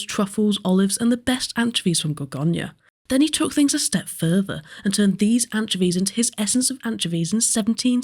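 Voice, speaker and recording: A woman's voice: mid-range voice, darkish tone. Woman narrating a scripted documentary. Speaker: presenter, narrator.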